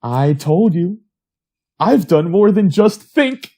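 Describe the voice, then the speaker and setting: A man's voice: high voice, very animated, slow, warm tone, full character voice. An actor playing a brooding, obsessive male amateur inventor, evasive and hesitant, in a retro radio drama. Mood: hesitant, secretive.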